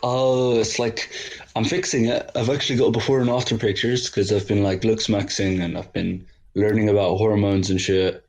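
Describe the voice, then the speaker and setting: A man in his twenties from the Netherlands, a podcast guest chatting in a relaxed, loose way. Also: lowish voice, normal speed. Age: 20s. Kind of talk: casual chat.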